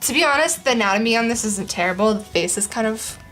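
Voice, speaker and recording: A woman's voice: fairly high pitch, expressive, quick pace, fairly bright tone, soft background music. Casual young woman with a worn voice. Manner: rambling, many ums, laughs often. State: losing her voice. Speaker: art vlogger. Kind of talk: casual chat. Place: living room.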